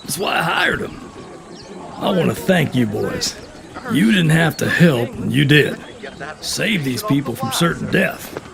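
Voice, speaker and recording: A man playing a gravelly Old West sheriff. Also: on the high side, expressive, measured pace, crowd noise, heavily acted. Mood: grateful. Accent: American, Southern or Western drawl. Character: firm, fair, courteous Old West town sheriff. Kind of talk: Western audio drama acting.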